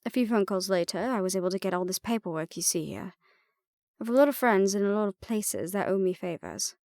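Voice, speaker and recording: A woman's voice: medium pitch, lively intonation, brisk, darkish tone, no echo, natural voice. Woman playing dry-recorded, wry lady adventurer. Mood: composed, wry. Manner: self-deprecating, wry, awkwardly rambling. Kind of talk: audio roleplay acting.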